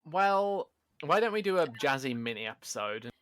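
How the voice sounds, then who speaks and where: A man co-hosting a podcast in casual chat, loud and self-deprecating, talking into a home microphone. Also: a little high, big pitch swings, moderate pace, no echo, clean studio sound. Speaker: podcast co-host.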